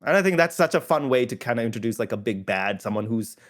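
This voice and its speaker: A man's voice: medium pitch, animated, quick pace. A man co-hosting a casual podcast, talking in long rambling turns with restarts and frequent laughter. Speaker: podcast co-host. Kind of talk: casual chat about tabletop games.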